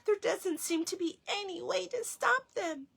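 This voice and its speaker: A woman's voice: very high-pitched, a little slow, creaky, fairly bright tone, voice pushed up, heavily acted. A woman voicing an eager, anxious young boy who is the hero of a storybook. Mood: unhappy, worried.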